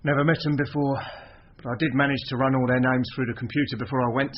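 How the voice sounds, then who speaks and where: A man's voice: medium pitch, little pitch movement, quick pace, slight background noise, lightly acted. Actor as British undercover policeman, muffled recording. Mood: matter-of-fact. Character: touchy, tough young undercover special branch man. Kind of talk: British radio detective drama acting. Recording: muffled, with music behind.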